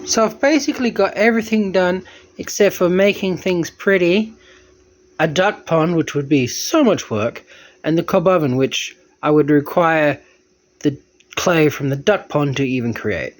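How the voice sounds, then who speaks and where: Lively, chatty man vlogging. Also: on the high side, a little slow, dry recording. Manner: chatty, self-mocking, animated. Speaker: homesteading vlogger. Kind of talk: casual self-filmed vlog narration.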